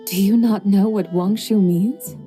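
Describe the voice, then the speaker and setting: A woman's voice: medium pitch, steady tone, measured pace, mellow, faint music behind, natural voice. A woman voicing an ancient, playful female horse spirit who likes to show off, speaking in archaic, poetic phrasing with a wry, amused tone.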